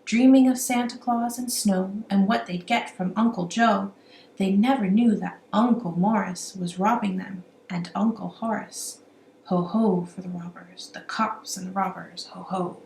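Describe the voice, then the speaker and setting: In a roomy, echoing space with faint noise, a woman reads a children's rhyme aloud. She is an amateur storyteller with an animated delivery and a middling pitch. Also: unhurried, creaky. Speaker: amateur children's book reader.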